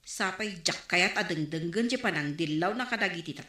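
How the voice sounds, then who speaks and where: A woman's voice: fairly low pitch, lively intonation, normal speed, bright, forceful tone, echoey room. A woman acting a talkative neighborhood store owner who gossips about neighbors, in a radio drama. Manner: chatty, gossiping.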